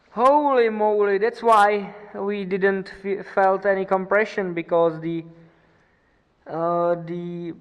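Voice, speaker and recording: A high-voiced man from the Czech Republic with Czech as his first language, speaking very slowly in casual workshop commentary. He stalls on fillers and chuckles between thoughts. The sound is roomy, with a long echo tail. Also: dull audio. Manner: halting, with frequent fillers and chuckles. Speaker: motorcycle mechanic vlogger.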